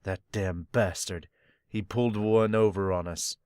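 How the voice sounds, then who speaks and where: A man voicing a calm young male captain who speaks in a quiet, terse, serious way. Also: fairly low pitch, unhurried, smooth, no echo, studio quality, lightly acted.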